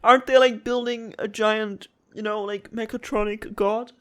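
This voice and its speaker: Male game streamer, fairly high and very bright, chatty, self-deprecating, reads dialogue aloud. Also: little pitch movement, moderate pace, clear, resonant tone, no room sound. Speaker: gaming streamer. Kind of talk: casual let's play commentary, reading dialogue aloud.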